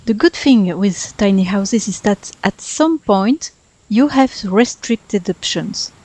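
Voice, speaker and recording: A woman's voice: mid-range voice, animated, slow pace, a little mellow. A woman with a French accent, a native French speaker in her thirties, chatting through a game build as voice-over narration, talkative and self-deprecating. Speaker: Sims speedbuild YouTuber.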